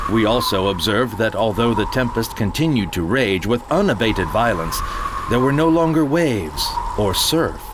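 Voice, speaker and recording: A man narrating a dramatized horror tale of the sea in a grave, measured voice that sinks low and quiet on dark phrases, with music playing underneath. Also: noisy background.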